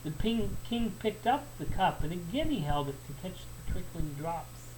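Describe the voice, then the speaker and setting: A man narrating a folktale as a storyteller, in an expressive, deliberate and emphatic way. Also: sits high. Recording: faint background noise.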